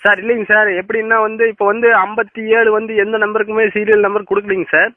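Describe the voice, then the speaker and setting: A man talking politely and rapidly on a phone call. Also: high voice, flat delivery, dry recording.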